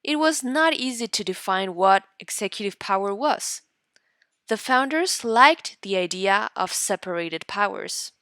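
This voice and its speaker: A woman teacher reading aloud for students in an instructional way, deliberately and in short guiding phrases. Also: a little high, fairly bright tone, no room sound, studio quality. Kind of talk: instructional read-aloud lesson narration.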